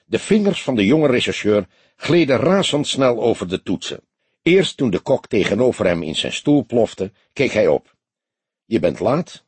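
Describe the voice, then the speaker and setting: Very husky, expressive male audiobook narrator. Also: mid-range voice, normal speed, no room sound. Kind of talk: expressive scripted narration of a detective novel.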